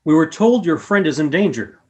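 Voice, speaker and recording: A woman in a radio play voicing a solemn, courteous alien who speaks in a measured, formal way through a robot. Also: low voice, lively intonation, breathy edge. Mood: calm, formal.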